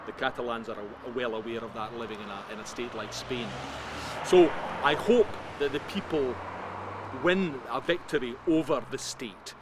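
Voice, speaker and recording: A man's voice: mid-range voice, normal speed. A Scottish man giving an earnest interview outdoors, stacking points into emphatic lists. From Scotland. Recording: street noise behind.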